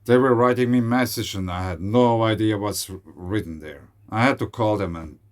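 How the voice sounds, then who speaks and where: A man's voice: fairly low pitch, moderate pace. A man in his forties with a Swiss German accent, rambling in a casual solo video with many fillers and restarts. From Switzerland. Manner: rambling, hesitant, with frequent fillers. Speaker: video creator. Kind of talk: casual monologue.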